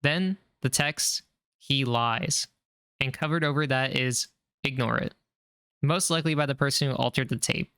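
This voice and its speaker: Bright, crisp man narrating a recap. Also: medium pitch, no echo, studio quality. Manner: calm, measured, explanatory. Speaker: video narrator. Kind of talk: scripted narration recapping a horror series.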